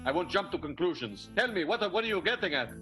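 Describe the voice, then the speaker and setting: A man's voice: sits high, very animated, quick pace. A man playing a dim, earnest Dutchman in an improvised comic radio soap, stammering and wordy in formal phrases. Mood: puzzled, earnest. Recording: guitar music behind the voice. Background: plucked guitar music.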